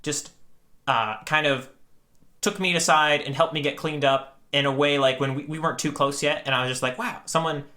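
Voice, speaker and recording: A man co-hosting a podcast, chatting casually in an earnest way with deliberate phrasing. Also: fairly high pitch, steady tone, sharp tone. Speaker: podcast co-host. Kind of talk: casual chat.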